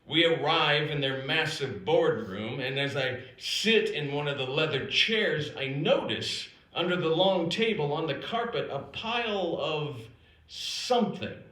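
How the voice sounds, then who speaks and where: A man's voice: fairly high pitch, animated, measured pace, pushed and bright. An American man performing a scripted comic personal story live, with a dramatic, wry, self-deprecating delivery. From United States, South Dakota. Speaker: storyteller performing own written piece. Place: indoor performance space at an acting institute. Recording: roomy, echoing live stage recording.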